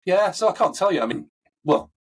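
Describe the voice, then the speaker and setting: A man with a husky, recovering voice, answering interview questions in a thoughtful, conversational way with frequent fillers and restarts. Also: sits high, expressive, a little fast. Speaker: coaching expert guest.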